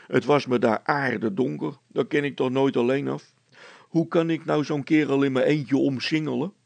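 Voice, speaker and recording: Man playing a gravelly simple village policeman. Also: mid-range voice, steady tone, normal speed, no room sound, natural voice. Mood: defensive. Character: simple, blunt village policeman, rustic and dim.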